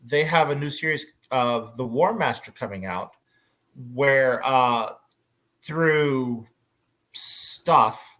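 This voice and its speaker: A man moderating a casual convention panel, chatting in a hesitant, pausing way. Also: medium pitch, fairly bright tone, low-quality recording.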